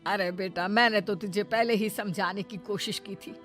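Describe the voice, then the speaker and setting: Woman as a sharp, scheming mother-in-law. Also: medium pitch, fairly even intonation, normal speed, soft background music, voice pushed down, lightly acted. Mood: complaining, accusing. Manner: sharp, scolding, complaining. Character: spiteful scheming middle-aged mother-in-law, quarrelsome and defiant. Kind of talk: animated moral story character acting.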